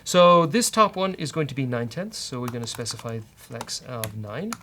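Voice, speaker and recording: A man's voice: medium pitch, very animated, moderate pace, pushed and bright. A man with a British accent hosting a casual live coding walkthrough, thinking aloud in a jokey way. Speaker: host of a coding show. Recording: keyboard clatter and clicking noise. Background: typing and mouse clicks.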